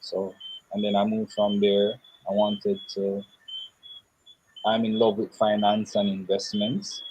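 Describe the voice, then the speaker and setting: A young man, answering interview questions in a thoughtful, measured way with pauses. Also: lowish voice, completely flat delivery, darkish tone, a little hiss or hum, poor audio. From Jamaica. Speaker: interview guest.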